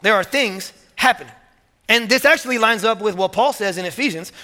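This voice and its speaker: A male pastor preaching with energy, his voice high and bright as he presses each point and repeats key words. His sermon rings out with a long reverb in a large hall. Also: a little fast. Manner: energetic, repeats words, rising to emphatic peaks.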